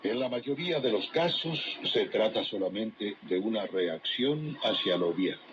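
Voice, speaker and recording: A man reading formal, measured scripted commentary as a broadcaster over shortwave radio. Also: on the low side, expressive. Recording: muffled shortwave broadcast with heavy static. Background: radio noise.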